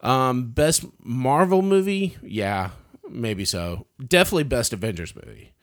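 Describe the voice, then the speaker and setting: A man's voice: medium pitch, animated, a little slow. A man giving a casual, opinionated film review as a podcaster, often stammering and repeating words as he starts.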